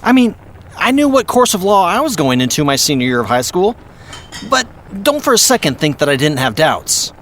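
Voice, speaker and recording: A man's voice: fairly high pitch, sing-song, moderate pace, a bit bright, a little hiss or hum. An actor playing a talkative, upbeat middle-aged father who is a lawyer, in an audio drama. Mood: encouraging. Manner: chatty, upbeat, soothing.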